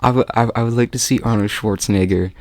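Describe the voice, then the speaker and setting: Young male, low register, casual joking podcast banter among a panel. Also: fairly even intonation, fast, a little mellow, a little hiss or hum. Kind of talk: casual joking group chat.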